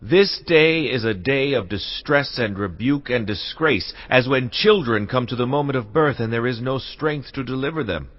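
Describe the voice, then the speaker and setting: A man's voice: medium pitch, normal speed, hardly any pauses, close to an everyday voice. An actor playing the anxious envoys of a besieged king, speaking solemnly and pleading. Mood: anguished. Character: distressed royal envoys of King Hezekiah. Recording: dull, distant-sounding audio.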